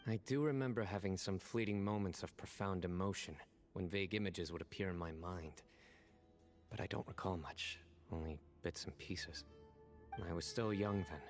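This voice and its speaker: A composed, resolute desert guardian from a video game. His voice sits very low and moves with solemn, deliberate weight. Soft music plays behind his reflective words. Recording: background music under dialogue.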